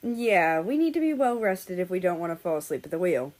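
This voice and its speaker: A woman's voice: fairly low pitch, big pitch swings, fairly quick, a little mellow. Woman reading as an easygoing, teasing male road-tripper. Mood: playful. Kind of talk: character dialogue in a story reading.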